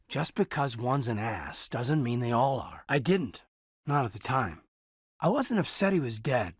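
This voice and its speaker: A male actor playing an earnest, guarded military officer in a science fiction video game, slightly husky and given to hesitant phrasing. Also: mid-range voice, no echo, studio quality. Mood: regretful, reflective. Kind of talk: naturalistic dramatic game dialogue.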